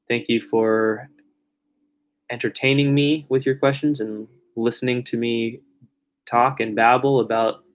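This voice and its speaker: Rambling young man on a live stream. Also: mid-range voice. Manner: rambling, self-correcting, trailing off quietly. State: voice getting raspy from long talking. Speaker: live streamer answering viewer questions.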